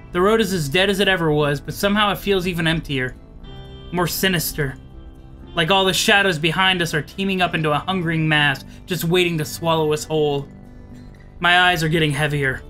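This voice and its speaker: A man's voice: a little high, a little fast, bright, forceful tone. A man voicing a weary, anxious driver in an apocalypse visual novel, somber and hesitant. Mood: brooding. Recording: music behind the voice.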